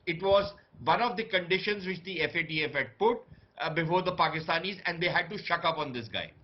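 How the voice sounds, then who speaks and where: A man's voice: on the high side, a little fast, highs cut off. A man with an Indian accent giving analytical debate commentary as a panelist, building his argument emphatically step by step.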